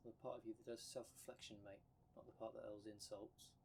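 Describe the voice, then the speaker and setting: A man's voice: on the low side, flat delivery, brisk, vocal fry, a little mellow, slight background noise. A man playing a blunt, cheeky dream demon, slangy and teasing, in an ASMR roleplay drama. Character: blunt, cheeky dream demon, bickering half of split creature.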